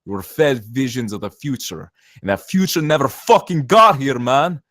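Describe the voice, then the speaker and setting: A man's voice: medium pitch, expressive, normal speed, fairly bright tone, no echo, studio quality, lightly acted. A man at a screenplay table read playing a brash, cynical saboteur who talks in emphatic, profane slang. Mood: bitter, impassioned. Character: cynical, brash zealot saboteur posing as safety inspector.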